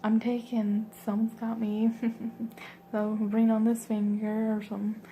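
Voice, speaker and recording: A young woman tells a story in a soft, hushed whisper, wandering off track with plenty of "like" and "um". Her voice stays flat and mellow. A faint hiss sits behind her. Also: fairly high pitch, a little slow. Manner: soft whisper, rambling with many fillers.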